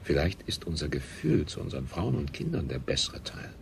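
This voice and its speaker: An earnest scientist with a very deep, creaky voice. He declaims solemnly and pompously about high ideals, as in a 1970s science fiction radio play. The noisy recording shows its age. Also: sing-song, fairly quick, heavily acted. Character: earnest, high-minded male scientist preaching humanity.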